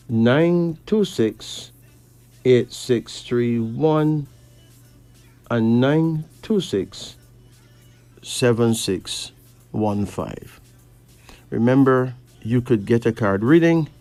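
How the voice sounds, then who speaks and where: A male late-night radio host from Barbados speaks with a Caribbean accent over faint music. His delivery is slow and deliberate, with pauses between phrases, as he talks to listeners and callers. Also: mid-range voice, mellow, no echo.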